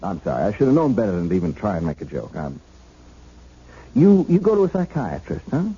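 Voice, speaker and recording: A man's voice: on the low side, big pitch swings, fairly quick, dark tone, breathy edge, full character voice. An actor playing an awkward, well-meaning young patrolman in a 1970s radio drama, hesitant, bashful and colloquial. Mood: awkwardly friendly. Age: young adult. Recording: dull, noisy 1970s radio recording.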